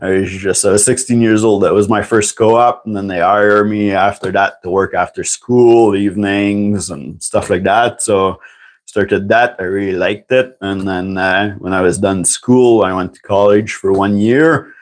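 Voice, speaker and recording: A man's voice: fairly low pitch, steady tone, unhurried. A man, chatting casually on a livestream and answering viewer questions. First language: French. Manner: rambling and chatty, answers viewer questions, clears throat often. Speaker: livestream host.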